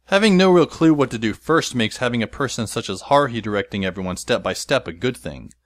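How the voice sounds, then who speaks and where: A man playing a wry, resigned teenage schoolboy who narrates an audio drama in a deadpan manner. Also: medium pitch, fast talker, natural voice. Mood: wry, measured. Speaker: narrator and lead character. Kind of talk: first-person scripted audio drama narration. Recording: music behind the voice. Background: instrumental music.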